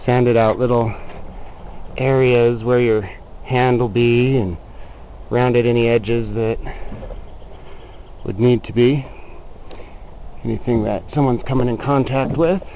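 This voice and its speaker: Guy doing a casual diy walkthrough outside, relaxed dark voice, plain explaining, bit of noise on the camera mic. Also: medium pitch, slow pace, long pauses. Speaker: DIY builder showing a chicken coop. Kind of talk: casual handheld walkthrough narration. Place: outdoors in a yard beside the coop. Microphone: handheld camera mic, speaker behind the camera. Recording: noisy outdoor handheld camera audio.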